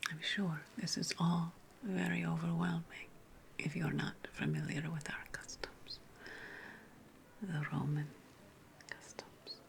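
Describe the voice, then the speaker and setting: A woman playing a sly, condescending, seductive witch hostess of ancient Rome in a whispered ASMR roleplay, with breathy, lingering hums. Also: on the low side, fairly even intonation, takes it slowly, pauses often. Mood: sly, coaxing.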